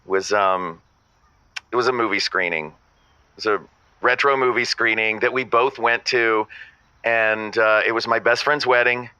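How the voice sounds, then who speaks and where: A man's voice: lowish voice, fairly even intonation, moderate pace, pushed and bright, clear, resonant tone, no echo. A man playing a fussy, pedantic boyfriend in an audio comedy, precise and argumentative as he corrects his partner. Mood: recalling, precise. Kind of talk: scripted audio comedy drama.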